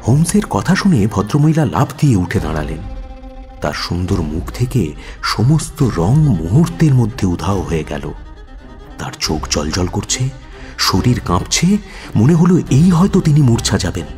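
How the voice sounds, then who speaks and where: Sidekick narrator, fairly low voice, animated swings, suspenseful, radio storytelling, music behind. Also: male voice, moderate pace. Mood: dramatic. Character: admiring male companion narrating a detective's adventure. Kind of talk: dramatic first-person storytelling in radio play.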